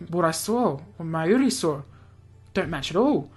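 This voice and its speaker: A man's voice: fairly high pitch, animated, measured pace, husky, soft background music. A man voicing a paranoid, grandiose young mad scientist in a theatrical, declaiming way for a visual novel. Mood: troubled.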